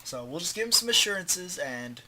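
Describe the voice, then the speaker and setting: A man's voice: high voice, big pitch swings, normal speed, bright, forceful tone. Stuffy-nosed man narrating a strategy game. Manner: offhand, slangy, thinking aloud while clicking. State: stuffed up from allergies, sore throat. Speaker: gaming tutorial host. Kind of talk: casual game tutorial commentary.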